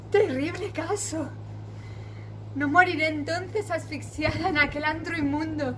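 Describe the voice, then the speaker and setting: A woman's voice: high voice, background noise. A woman playing a frightened young noblewoman in a declamatory classical stage monologue, full of dramatic questioning. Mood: anxious, doubting. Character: frightened young noblewoman agonizing over dark fears. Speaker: amateur stage actress.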